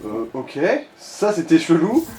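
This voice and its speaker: A man with a slightly high voice giving casual gaming advice. His wording is slangy and crude. The room echoes noticeably, with a faint noise in the background. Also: sing-song, slow, dark tone. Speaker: co-commentator advising the player.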